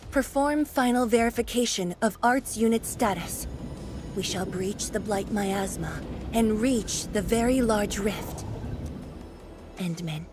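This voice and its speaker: A woman voicing a caring, composed female leader in a video game, speaking in a formal, gentle, deliberate way. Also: mid-range voice, a little hiss or hum, natural voice. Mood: commanding.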